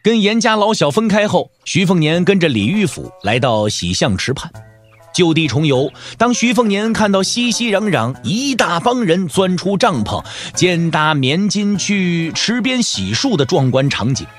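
A man narrating an audio drama from a script in an expressive storytelling manner. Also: sits high, normal speed, faint music behind.

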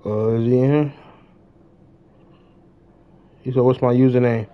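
A man's voice: medium pitch, fairly even intonation, slow pace, warm tone. A young adult man chatting casually on a gaming livestream, slangy, often repeating his phrases. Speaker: live game streamer. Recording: dull lo-fi stream audio.

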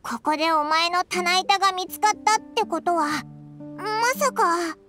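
A woman's voice: very high voice, unhurried, bright, forceful tone, heavily acted. A woman voicing a tiny, childlike fairy companion in an anime video game, with cute, cheeky, blunt speech. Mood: concerned, consoling. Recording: prominent music behind the voice.

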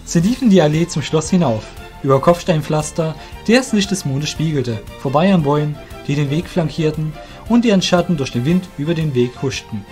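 A man's voice: on the high side, animated, brisk, darkish tone. A man narrating a fantasy audio drama from a script as the storyteller. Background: faint music.

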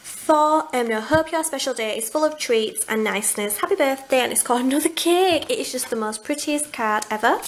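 A woman's voice: high voice, normal speed, few pauses, slight background noise. A young woman in a casual haul video at home, gushing over cute finds while showing them to the camera. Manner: gushing, enthusiastic about cute items. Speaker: vlogger. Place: home, indoors at a table.

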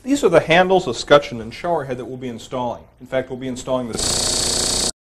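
A man hosting a home improvement show, giving plain, steady step-by-step instructions as he demonstrates the work. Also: mid-range voice, a little fast, heavy background noise.

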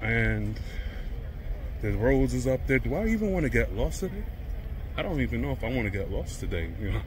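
A man's voice: mid-range voice, big pitch swings, fairly quick, a little hiss or hum. An American man talking casually in a chatty, colloquial way as he films a walking travel vlog outdoors. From United States. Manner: laid-back, colloquial, chatty. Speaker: travel vlogger. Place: outdoors on town streets.

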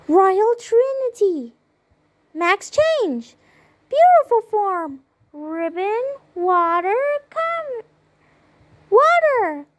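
Young magical princess heroine, acted by an amateur: extremely high, childlike voice, very slow theatrical attack calls. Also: exaggerated voice. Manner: theatrical, drawn-out exclamations. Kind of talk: anime-style fan voice acting, slow dramatic calls.

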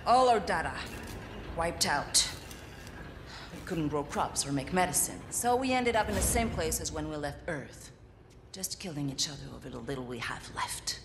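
In a long reverb with noise behind, a battle-worn older woman in command in a video game. Her low voice is stern, curt and full of bitterness. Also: expressive, moderate pace. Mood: bitter. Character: hardened, bitter older female military commander. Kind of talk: video game cutscene acting. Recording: long reverb, clear background ambience.

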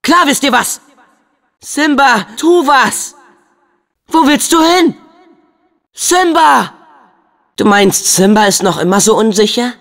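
An eager brave teen boy game hero, really animated, unhurried, big long reverb on it. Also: very high-pitched, full character voice. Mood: exasperated, urgent. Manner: eager, expressive, youthful delivery. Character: upbeat, brave, earnest teenage boy hero. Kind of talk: German video game dub acting.

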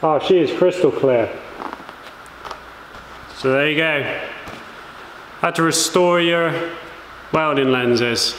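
Man, slow relaxed workshop chat. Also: fairly high pitch. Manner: relaxed, rambling with fillers. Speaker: welding fabricator, channel host. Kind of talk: casual workshop how-to. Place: workshop with bare walls, indoors. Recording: echoey workshop, some noise.

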